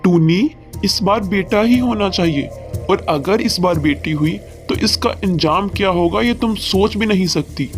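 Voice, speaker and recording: A domineering cartoon father bird, acted by a man, pitched high for a male voice. He talks in a harsh, boastful, scolding way, in a long hall-like reverb with music clearly behind him. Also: unhurried. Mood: angry, threatening. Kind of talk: Hindi cartoon voice acting.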